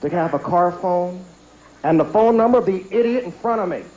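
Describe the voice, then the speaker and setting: High-pitched man doing standup, echoey. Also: animated, a little mellow, a little hiss or hum. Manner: emphatic, drawn-out punch lines. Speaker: standup comedian. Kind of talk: standup comedy routine. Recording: dull lo-fi echoey archive TV recording, music.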